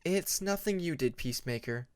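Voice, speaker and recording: A man voicing a soft-spoken, thoughtful female dragon in a storybook reading, gentle and hesitant. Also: a little high, lively intonation, normal speed, smooth, dry recording, close to an everyday voice. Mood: reassuring.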